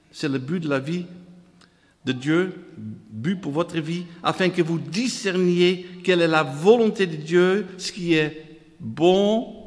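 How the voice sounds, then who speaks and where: A man's voice: sits high, a bit bright. A man with a Dutch accent, preaching a sermon, reading scripture and repeating phrases in lists while calling out to the congregation. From Netherlands. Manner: reads scripture aloud in quotes; calls out to the congregation. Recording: long hall reverb.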